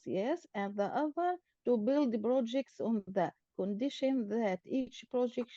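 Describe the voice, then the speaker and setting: A woman from Israel, a native Arabic speaker, giving a measured prepared talk as guest speaker over a video call. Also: a little high, mellow, no room sound, lo-fi sound. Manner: measured, reading from notes, with small grammar slips.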